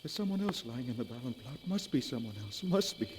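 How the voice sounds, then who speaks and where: An actor playing a lovestruck, easily shaken husband in a dramatic, emphatic 1940s radio acting style. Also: male voice, medium pitch, big pitch swings, fast talker, natural voice. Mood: disbelieving, desperate. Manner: dramatic, emphatic, repeats himself in disbelief. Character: lovestruck husband, growing shaken and stubbornly disbelieving. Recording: noisy vintage 1940s radio recording, organ behind.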